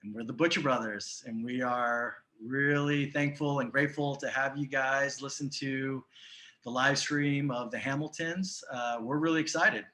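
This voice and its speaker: Male filmmaker guest, bright mid-pitched voice, informal introduction, halting and conversational with repeated words. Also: fairly even intonation. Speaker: film director, guest. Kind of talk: casual spoken introduction.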